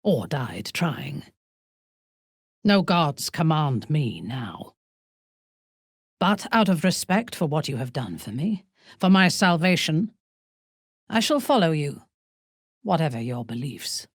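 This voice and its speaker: A woman's voice: deep voice, big pitch swings, breathy edge, no echo, studio quality, exaggerated voice. An actress playing a proud, ruthless exiled warrior woman in a video game, speaking formally with sardonic, imperious deliberation. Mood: solemn resolve. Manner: haughty, formal, deliberate phrasing. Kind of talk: video game character voice lines.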